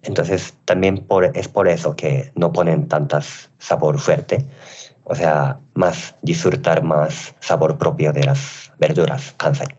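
A man who is a chef and a native Japanese speaker, answering interview questions haltingly and often searching for words and correcting himself. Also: a bass voice, steady tone, a little mellow, muffled recording. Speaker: chef, guest. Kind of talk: interview answers on a radio show.

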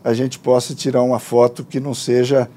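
A man with a Brazilian Portuguese accent giving a brisk formal presentation with hesitant restarts. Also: mid-range voice, level tone, warm tone. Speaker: conference speaker.

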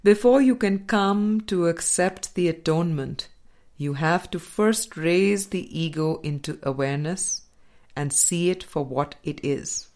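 Slow, low-toned woman reading aloud. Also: darkish tone. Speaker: audiobook reader. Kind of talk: measured reading of a spiritual dialogue book.

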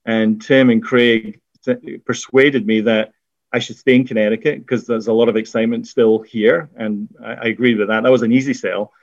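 A middle-aged Scottish man recounts his path through the industry at a middle pitch, pausing on fillers and restarting his phrases. The sound is dry and a little dull, with no room echo. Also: fairly even intonation. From Scotland. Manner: measured, with frequent fillers and restarts. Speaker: biotech executive guest. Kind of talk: conversational interview.

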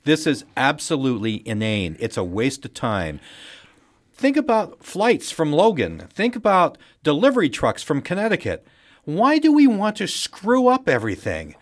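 A man's voice: on the high side, huge pitch swings, moderate pace. A man giving conversational news commentary as a panelist, with a blunt, emphatic and opinionated way of talking. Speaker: newspaper journalist as panel commentator. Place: radio studio.

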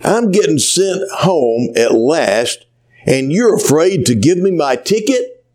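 A man voicing a cheerful, devout elderly woman, folksy and gently scolding. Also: on the high side, very animated, slow, pitched above the performer's own voice.

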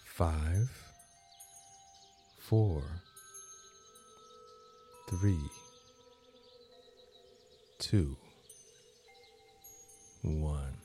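Man, deep voice, guided meditation narration, slow measured phrasing, long pauses. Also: mellow, faint music behind. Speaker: guided meditation podcast host.

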